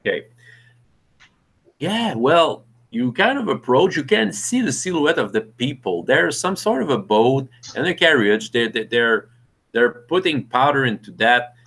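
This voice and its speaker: A man's voice: mid-range voice, sing-song, creaky, a bit bright, breathy edge. A man with French as his first language, narrating a tabletop game as game master with many restarts. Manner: halting, many restarts and fillers.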